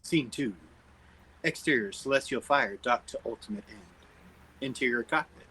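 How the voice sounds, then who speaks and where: A man narrates a script at a table read. His mid-pitched voice is noticeably husky as he reads out the stage directions. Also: moderate pace, pushed and bright, poor audio.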